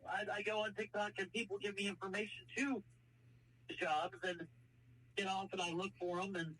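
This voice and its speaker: A woman on a casual phone call, explaining her situation in a halting way. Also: mid-range voice, little pitch movement, a bit bright, a little hiss or hum. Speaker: caller seeking work.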